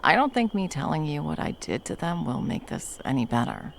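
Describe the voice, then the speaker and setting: A woman playing a protective, tender monster hunter in an intimate ASMR roleplay, speaking haltingly and breathily, often dropping toward a whisper. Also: deep voice, huge pitch swings, vocal fry, slight background noise, full character voice. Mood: tender, hesitant. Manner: halting, coaxing, speaking to a beloved. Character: wandering female monster hunter, fiercely protective, tender lover.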